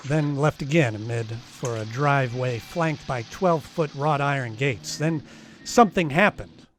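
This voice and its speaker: A man's voice: medium pitch, a little slow, a little hiss or hum, natural voice. A man playing a wry, hard-boiled private detective in 1940s radio style, dry and wisecracking. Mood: tense storytelling. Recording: live-streamed radio play with music underneath.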